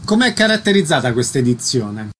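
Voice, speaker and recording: A man's voice: mid-range voice, very animated, fairly quick, a little hiss or hum. A man hosting a radio interview with prepared questions and announcements.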